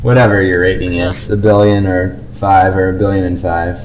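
A man co-hosting a podcast, giving casual, measured reviews in a conversational, hedging way. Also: sits low, level tone, a little mellow, muffled recording, a little hiss or hum. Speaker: podcast co-host.

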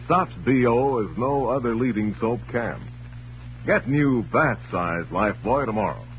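A man's voice: mid-range voice, a little slow, very noisy. A man announcing in a polished, emphatic 1940s radio style, reading scripted narration and advertising. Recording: muffled old radio recording, music behind.

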